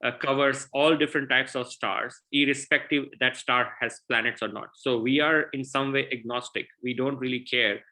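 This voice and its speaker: A man with an Indian accent and a bright, forward voice answers questions as a guest scientist. He explains earnestly and often restarts his sentences. He sounds like he is speaking through a computer mic on a video call. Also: medium pitch, steady tone, normal speed, hardly any pauses, rough recording. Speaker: guest researcher.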